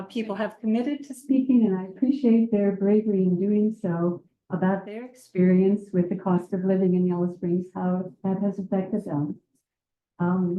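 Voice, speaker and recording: A woman moderating a community panel, welcoming a live audience in a gentle, measured and slightly hesitant way. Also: mid-range voice, phone-quality sound. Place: community meeting room with a seated circle. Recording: roomy room sound.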